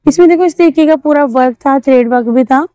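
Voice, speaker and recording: A woman's voice: sits high, quick pace, mellow, no echo. A young woman vlogging at an outdoor market, chatting through prices and fabrics and asking shopkeepers. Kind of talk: walking market vlog showing clothes and prices. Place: busy outdoor street market.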